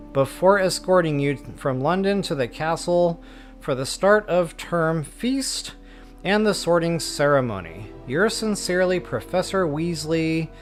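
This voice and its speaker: Higher-voiced man, casual game streamer. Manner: informal, self-deprecating, rambling. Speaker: host of a video game walkthrough stream. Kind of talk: casual live game commentary, chatting to viewers.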